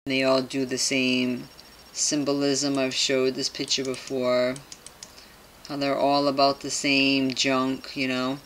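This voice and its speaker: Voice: medium pitch, sharp tone, a little hiss or hum. A person reading aloud and commenting casually to viewers, with skeptical, conspiratorial asides and frequent tag questions, in a home recording. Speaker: video host commenting on a topic.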